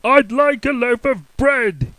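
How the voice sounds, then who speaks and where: A man voicing a loud, booming cartoon character who bellows every line at full volume. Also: animated, slow pace, no room sound, heavily acted.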